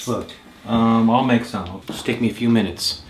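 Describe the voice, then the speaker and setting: A man's voice: mid-range voice, steady tone, normal speed, natural voice. A man acting a grumbling, obliging dinner companion in a comedy sketch. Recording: echoey, noisy playback of a video.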